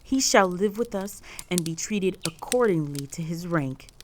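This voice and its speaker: A woman playing a stern, proud, possessive female orc chieftain in a roleplay audio drama, speaking in a formal, measured, authoritative way. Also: fairly low pitch, animated, a little mellow, slight background noise. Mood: commanding, stern.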